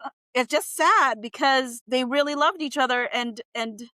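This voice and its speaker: Bright-voiced, expressive woman. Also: high-pitched, moderate pace, no echo. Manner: emotional, expressive, earnest. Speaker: host reading a screenplay aloud and reacting. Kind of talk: dramatic script read-aloud with casual reactions.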